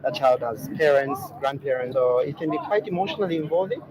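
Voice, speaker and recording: A man's voice: medium pitch, expressive, brisk. A man giving formal remarks to the press as a hospital official. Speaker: hospital medical superintendent. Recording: noisy outdoor crowd behind. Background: loud crowd chatter.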